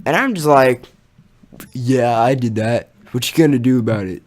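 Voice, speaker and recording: A young man telling a casual personal story as a YouTuber, in an animated, exaggerating manner with slang and swearing. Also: mid-range voice, moderate pace.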